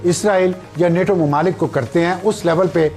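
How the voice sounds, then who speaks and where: A man delivers political analysis in a fairly high voice. Faint music plays behind him. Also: normal speed, darkish tone.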